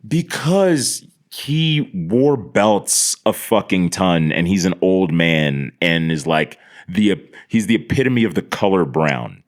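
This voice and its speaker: A man's voice: low voice, lively intonation, slow pace, hardly any pauses. A man from the United States, a podcast guest telling casual comedic stories with profane, slangy exaggeration.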